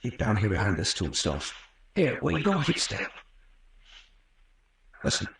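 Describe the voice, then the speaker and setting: An actor playing an urgent, bossy adventurer hero in an old-time radio horror serial, with terse delivery. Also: male voice, lowish voice, wildly swooping pitch, a little slow, gravelly, a bit bright, slight background noise, exaggerated voice. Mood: urgent, commanding. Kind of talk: 1930s-40s radio adventure acting. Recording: very echoey old radio, distant mic.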